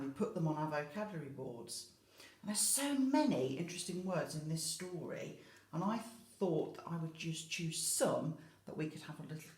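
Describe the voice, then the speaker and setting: The recording is roomy and echoey. A British woman with a slightly low voice speaks as an infant school teacher to young children. She explains storybook words expressively, leaning on key words and adding little questions to keep them involved. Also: a little mellow. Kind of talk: educational talk to young children about a picture book.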